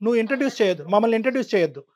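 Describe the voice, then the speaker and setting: A woman giving forceful, exhorting advice as a counsellor on a talk show. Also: on the high side, lively intonation, very fast. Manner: emphatic, exhorting.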